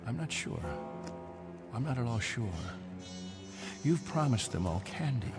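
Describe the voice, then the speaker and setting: An actor playing a stern, proud, controlling captain of stranded settlers in a radio drama, with a commanding, insistent manner. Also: male voice, medium pitch, expressive, a little slow. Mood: troubled, disapproving. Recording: radio drama with music underneath.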